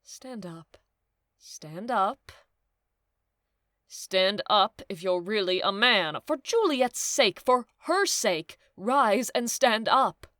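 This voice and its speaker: A woman's voice: mid-range voice, animated, slow pace, pushed and bright, dry recording, studio quality. A woman playing a fretful, pitying nurse in a modern-language Shakespeare audio drama, lamenting and pleading with repeated phrases. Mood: commanding, pleading. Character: Juliet's female nurse, fretful, pitying, bossy servant.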